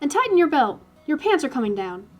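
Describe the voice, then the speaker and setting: A woman's voice: sits high, big pitch swings, fairly quick, faint music behind, pitched above the performer's own voice. A woman voicing a fretful, fussy farm wife in a storybook reading.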